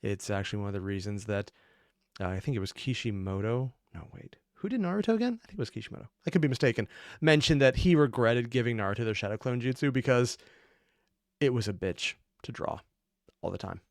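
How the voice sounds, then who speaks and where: A man's voice: medium pitch, fast, no room sound, clean studio sound. A man giving casual, off-the-cuff commentary as a reaction host, analytical and prone to rambling asides and correcting himself.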